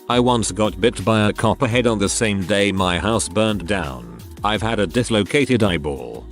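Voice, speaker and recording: A man reading online posts aloud as a narrator in an even, steady delivery. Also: lowish voice. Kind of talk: scripted narration. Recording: soft music bed behind voice. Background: faint background music.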